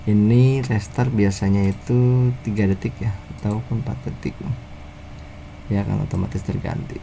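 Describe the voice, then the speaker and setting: Indonesian guy doing a casual app walkthrough, kinda halting, gravelly low voice, noisy background. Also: fairly even intonation. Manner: casual, halting step-by-step delivery. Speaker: software developer presenting own app. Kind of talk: informal software tutorial narration.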